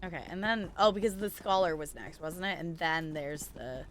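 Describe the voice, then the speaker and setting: A woman's voice: low voice, moderate pace, a little hiss or hum. A woman livestreaming a game with casual, playful commentary, chatting and thinking aloud as she plays. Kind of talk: casual live gaming commentary.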